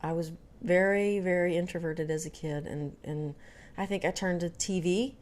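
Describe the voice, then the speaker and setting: A young woman from Dallas, Texas chats to camera in a relaxed vlog, with no regional twang in her speech. Her voice sits a bit low, and she rambles along with frequent ums, uhs and self-corrections. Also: normal speed. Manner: chatty, many fillers and restarts. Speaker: vlogger. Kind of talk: casual storytelling to camera.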